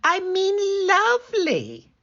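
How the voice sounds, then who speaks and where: Woman doing a squeaky wolf in sweet disguise. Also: wildly swooping pitch, slow, bright, forceful tone, dull audio, heavily acted. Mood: falsely sweet. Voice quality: squeaky falsetto. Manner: sugary false sweetness. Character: sly male wolf impersonating a little girl or granny.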